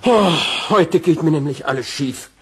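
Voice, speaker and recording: A man's voice: a little high, lively intonation, moderate pace, natural voice. An actor playing a nervous, fussy businessman in a children's audio drama, speaking in stilted, formal, self-pitying phrases. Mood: flustered lament. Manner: stilted formal wording, flustered, pompous.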